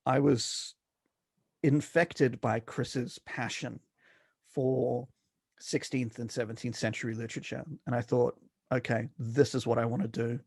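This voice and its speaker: A man's voice: mid-range voice, level tone, unhurried, creaky, darkish tone, husky, dry recording, studio quality. A middle-aged man from South Africa with a mixed, mostly Australian accent, speaking as a guest scholar in an interview, hesitant and full of fillers and restarts. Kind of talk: academic interview.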